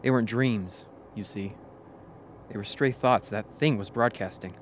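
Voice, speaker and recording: A man plays a gruff, bitter member of an expedition crew in a radio drama. The voice sits low, with faint noise behind it. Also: normal speed, close to an everyday voice. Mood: bitter, brooding. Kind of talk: amateur radio play acting.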